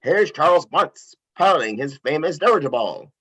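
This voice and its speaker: A man playing a grand, excited newsreel announcer in a film table read, with a declamatory, showy delivery. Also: on the high side, normal speed, breathy edge, full character voice.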